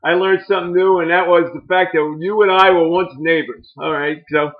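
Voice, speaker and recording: Brief: chatty male podcast host, high voice, muffled lo-fi sound. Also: fairly even intonation, a little fast. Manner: chatty, repeats and restarts words, asks long rambling questions. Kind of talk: casual interview chat. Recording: dull, lo-fi.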